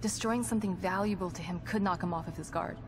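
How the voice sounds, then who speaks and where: An actress playing a composed, guarded psychologist in a video game, speaking in precise, formal clinical terms. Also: female voice, fairly low pitch, quick pace, lightly acted. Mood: clinical, focused. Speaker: psychologist character in a horror game. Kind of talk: video game cutscene acting. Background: background music.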